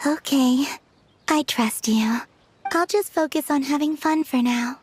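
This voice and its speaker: A woman voicing a gentle, dreamy young woman in a video game, soft and airy, speaking in an unhurried, earnest way. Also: sits high, slight background noise. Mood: reassured.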